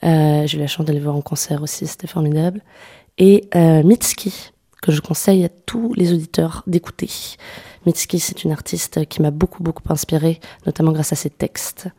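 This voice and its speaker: A young woman singer-songwriter answering interview questions, speaking softly with many hesitations and restarts. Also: low-pitched, steady tone, normal speed, husky. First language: French. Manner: soft-spoken, hesitant, many fillers and restarts. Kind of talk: radio interview conversation.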